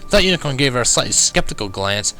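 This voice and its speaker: A man reading fan fiction aloud as an animated first-person narrator. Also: medium pitch, fast talker, faint music behind.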